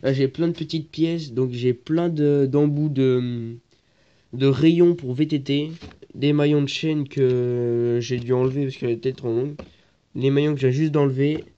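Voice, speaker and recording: A man's voice: mid-range voice, steady tone, unhurried, warm tone. A teenage boy with a stuffy cold, talking hesitantly and searching for words while filming his moped work on a phone. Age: teens. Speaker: vlogger. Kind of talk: casual moped workshop vlog. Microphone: phone camera, handheld then propped. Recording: dull lo-fi phone audio with noise.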